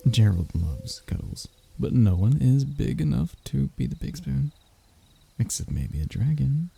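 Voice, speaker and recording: A man playing a lovesick young royal heir in an intimate romance roleplay, stammering and hesitant, with a husky, breathy voice. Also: medium pitch, big pitch swings, measured pace, warm tone, exaggerated voice. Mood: stunned, overjoyed. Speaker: voice actor in audio roleplay.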